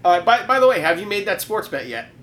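A man's voice: high voice, sing-song, fairly bright tone. A man co-hosting a casual podcast, needling his friend with sarcastic jokes. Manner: sarcastic, jokey, quick to needle. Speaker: podcast co-host.

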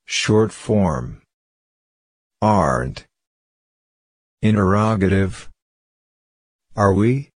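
Slow, deliberate man reading a lesson. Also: sits low, no room sound, clean studio sound. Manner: measured, clearly enunciated drill reading. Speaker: course audio narrator.

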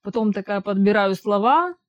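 A woman's voice: medium pitch, expressive, normal speed, muffled recording. A woman who grew up speaking Russian and now lives in Israel, chatting casually and hesitantly, with many fillers and restarts. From Russian-speaking immigrant living in Israel. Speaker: interviewee. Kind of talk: casual conversation.

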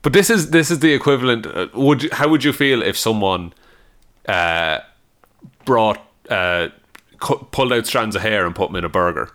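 A man's voice: fairly low pitch, moderate pace. A man co-hosting a podcast, bantering casually with joking, sweary commentary. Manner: animated, joking, swearing, mock-indignant. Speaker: podcast co-host.

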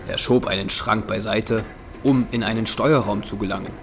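A man's voice: fairly low pitch, steady tone, moderate pace, a little hiss or hum. A man reading scripted narration for an audio drama. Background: music.

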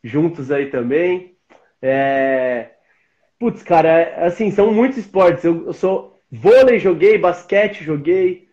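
A man in his forties from São Paulo, with a São Paulo accent, chatting in a relaxed, slangy way as a guest on a live-stream video call. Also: fairly high pitch, takes it slowly, lo-fi sound. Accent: São Paulo Brazilian Portuguese. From São Paulo, Brazil. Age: 40s. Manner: slangy, laid-back, chummy. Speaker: interview guest. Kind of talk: casual live-stream interview.

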